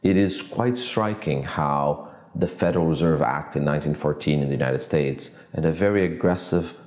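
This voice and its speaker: Deep-voiced man from Portugal in his forties, measured academic, roomy office sound. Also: darkish tone. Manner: measured, academic, thinks aloud with restarts. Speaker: economics professor. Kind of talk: interview answers to camera. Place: office with glass walls, indoors.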